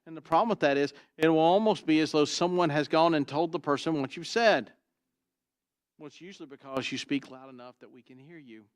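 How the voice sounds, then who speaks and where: A pastor with a booming, trained voice preaching an expository sermon, swinging from loud emphasis to quiet asides and asking "Why?" before he answers. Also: a little fast, clear, resonant tone, no echo. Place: church sanctuary.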